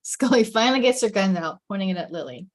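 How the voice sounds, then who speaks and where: A woman's voice: medium pitch, animated, quick pace. A woman narrating the scene and camera directions of a script at a table read. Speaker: stage directions reader.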